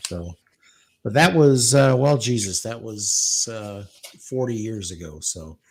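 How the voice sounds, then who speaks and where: A man's voice: on the low side, lively intonation, unhurried, slightly rough. A middle-aged man co-hosting a casual radio show, rambling and self-deprecating with many restarts. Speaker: radio station owner and co-host.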